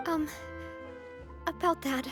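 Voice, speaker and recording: A woman's voice: high voice, fairly even intonation, takes it slowly. A woman playing a poised, sincere young gang leader in a video game, speaking in a composed, earnest way. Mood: sorrowful, gentle. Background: background music.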